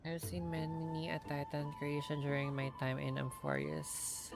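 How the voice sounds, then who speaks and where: Female streamer, very low gravelly register, deliberate read of game dialogue over faint music. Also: fairly even intonation. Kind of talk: reading game text and dialogue aloud.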